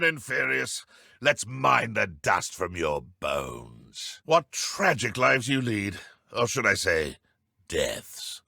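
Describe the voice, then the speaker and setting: Raspy, sneering British dark wizard. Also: male voice, medium pitch, no room sound, studio quality, exaggerated voice. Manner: taunting, theatrical, sneering. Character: menacing, mocking male dark wizard henchman. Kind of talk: video game combat voice lines.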